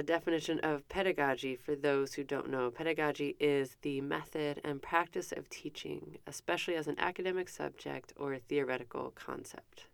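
Low-voiced woman talking thru a history lesson, kinda gravelly but smooth, lots of um's and side notes. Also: no echo. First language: not French. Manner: chatty, self-correcting, with asides and fillers. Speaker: presenter explaining an educator's life. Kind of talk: casual informal lecture.

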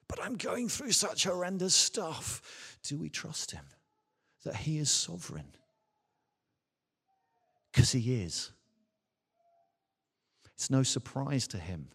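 A man, a Baptist minister, preaching a sermon in an earnest, emphatic way with rhetorical questions and deliberate repetition. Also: lowish voice. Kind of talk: sermon to a congregation. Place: large church or hall, speaking from a stage. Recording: reverberant hall with long echo.

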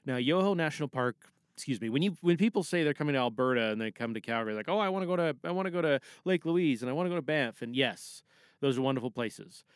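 A man's voice: medium pitch, expressive, fast, clear, resonant tone, no room sound, studio quality. A man hosting a podcast, talking in a chatty, rambling audio diary style. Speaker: podcast host.

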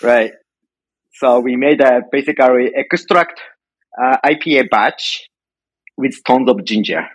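A middle-aged man from Japan with a Japanese accent, telling stories casually as an interview guest in halting, informal phrasing. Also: medium pitch, no echo, rough recording. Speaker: podcast guest, home brewer.

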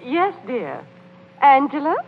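A woman's voice: high voice, very animated, takes it slowly, full character voice. An actress playing an agreeable, placating wife and mother in a 1930s radio comedy. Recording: muffled, hissy 1930s radio recording.

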